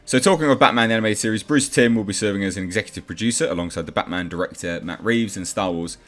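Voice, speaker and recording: A man's voice: on the low side, quick pace, fairly bright tone. A man hosting casual movie news commentary, opinionated and blunt, with sardonic asides. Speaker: host of a movie news channel. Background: background music.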